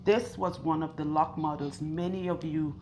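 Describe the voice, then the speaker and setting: A woman loctician with a husky, hoarse voice, talking slowly and earnestly to camera while interviewing a client in her salon. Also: sits low, fairly even intonation, background noise. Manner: earnest, chatty, asks questions and recounts stories. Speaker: loctician and channel host. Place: hair salon.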